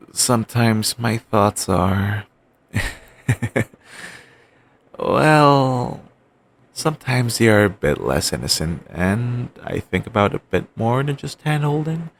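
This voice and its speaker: A man playing an obsessive, doting yandere boyfriend in an audio roleplay, talking softly and rambling on with endearments. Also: lowish voice, creaky, a little hiss or hum, heavily acted. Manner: soft, murmuring, slow and rambling endearments.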